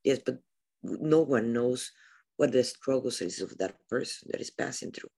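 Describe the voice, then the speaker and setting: A woman's voice: rumbling low, lively intonation, normal speed, vocal fry, dark tone, slightly rough. A woman hosting a podcast, speaking in a reflective, plain-spoken way. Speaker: podcast host. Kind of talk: casual conversation.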